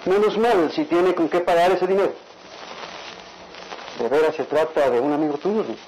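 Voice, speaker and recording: Radionovela role for a male actor: shrewd, genial lieutenant and father, slightly high voice, faintly noisy old recording. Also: moderate pace, darkish tone, natural voice. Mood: pondering. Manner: familiar, repeating himself, deliberating. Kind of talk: radio soap opera acting.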